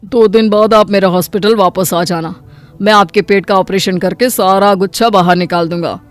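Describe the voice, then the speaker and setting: A man's voice: high voice, fairly even intonation, normal speed, soft background music, natural voice. A man voicing a matter-of-fact hospital doctor in a cartoon moral story, firm and blunt.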